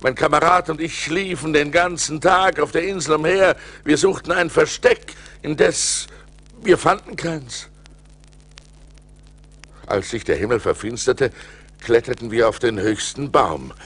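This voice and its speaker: An actor playing a shipwrecked sailor who recounts his perils in a husky, theatrical, suspenseful way, in an audio drama from a vinyl record. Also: a little high, fairly bright tone. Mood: horrified. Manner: dramatic, suspenseful delivery with deliberate pauses. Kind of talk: theatrical radio-play storytelling narration.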